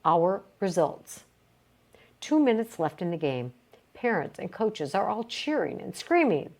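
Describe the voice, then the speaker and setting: A woman reading a motivational self-help book aloud, with emphatic, deliberate phrasing and short pauses. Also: mid-range voice, big pitch swings, darkish tone. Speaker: reader of a book read aloud.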